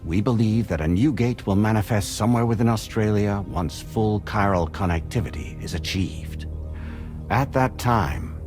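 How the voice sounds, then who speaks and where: An actor playing a stately, courteous corporate president in a game, with a formal, deliberate, authoritative delivery. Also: male voice, lowish voice, darkish tone, lightly acted. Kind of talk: scripted game cutscene speech.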